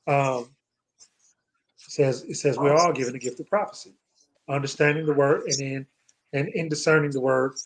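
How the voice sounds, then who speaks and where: A man's voice: medium pitch, fairly even intonation, normal speed, pauses often, poor audio. An American man hosting a scripture study, talking reflectively and often searching for his words. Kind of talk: reflective Bible discussion.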